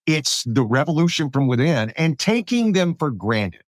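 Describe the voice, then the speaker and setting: An American man hosting a podcast, talking politics casually in a halting way, repeating words as he goes. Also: a little high, sing-song, no echo, clean studio sound. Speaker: podcast host.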